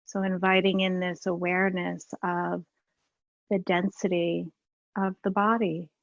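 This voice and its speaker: A woman guides a meditation in a slightly low voice that stays very even. She is unhurried, leaving long pauses between phrases. The sound is dry and close to the room-free, though a little dull and lo-fi. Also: no echo. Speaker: meditation teacher.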